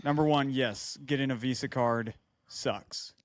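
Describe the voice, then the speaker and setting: A man's voice: medium pitch, steady tone, measured pace. A man co-hosting a podcast in casual chat, joking and giving blunt advice. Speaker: podcast co-host.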